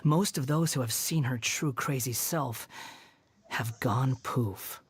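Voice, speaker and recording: Low, scornful young wanderer. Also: female voice, slow, slightly rough, no echo, exaggerated voice. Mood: mocking. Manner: scornful, condescending, dry. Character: haughty, sardonic young wanderer, a former villain with mechanical parts. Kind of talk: video game character voice-over.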